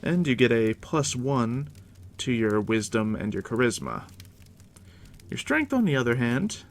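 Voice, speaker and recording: Gravelly, whispering ASMR man. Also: mid-range voice, wildly swooping pitch. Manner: unhurried, reassuring, talking directly to the listener. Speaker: game master guiding a new player. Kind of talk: ASMR roleplay, soft deep whispering.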